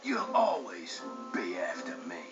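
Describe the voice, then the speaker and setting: Australian racer villain, menacing, mocking, slangy, animated intonation, cartoon game, muffled audio with music. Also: male voice, deep voice, unhurried, full character voice. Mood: taunting, menacing.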